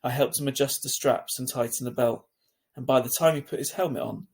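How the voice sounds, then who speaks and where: A man narrates a children's novel aloud in the way of a teacher reading to pupils. His voice sits in a middle register and has a husky grain. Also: mid-range voice, brisk, darkish tone. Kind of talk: scripted storybook narration.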